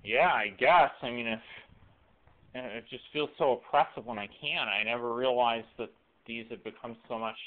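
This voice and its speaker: A man in his late twenties giving a rambling, personal monologue, halting and often rephrasing himself, recorded on a cell phone. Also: medium pitch, a little hiss or hum. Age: late 20s. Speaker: video blogger. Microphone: cell phone camera microphone. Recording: weak, muffled lo-fi cell phone audio.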